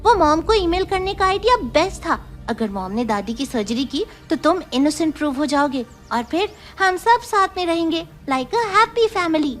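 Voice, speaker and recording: High-pitched playful little girl, music behind. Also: animated, moderate pace, few pauses, fairly bright tone. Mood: happy, excited. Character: playful young girl, affectionate, teasing little sister. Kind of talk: Hindi audio drama acting.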